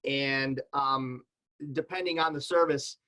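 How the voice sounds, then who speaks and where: A man's voice: medium pitch, fairly even intonation, slow, sharp tone, rough recording. A man giving casual, conversational explanations as a podcaster on a panel, illustrating points with examples.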